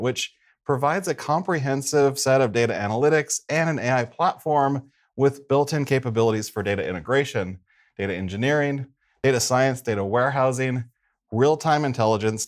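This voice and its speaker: A man in middle age hosting a tech show, presenting in a scripted style from a studio desk. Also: mid-range voice. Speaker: show host.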